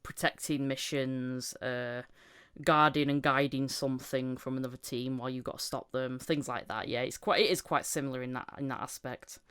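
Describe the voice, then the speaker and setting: A woman's voice: rumbling low, fairly even intonation, moderate pace, a bit bright, smooth. A woman hosting a casual interview podcast, talking in an enthusiastic, rambling way. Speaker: podcast host.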